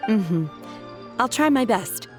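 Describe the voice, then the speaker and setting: A woman's voice: mid-range voice, lively intonation, drawn-out words. A woman voicing a reserved, earnest young female disciple in a video game, speaking plainly and respectfully. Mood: calm, dutiful. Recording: faint music behind the voice. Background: game music.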